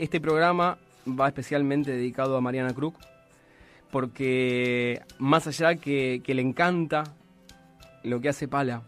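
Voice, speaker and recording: A middle-aged man with an Argentine accent hosting a radio interview in a small studio, talking at an unhurried pace with frequent restarts. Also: medium pitch. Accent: Argentine Spanish. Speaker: radio host. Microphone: studio mic with headphones.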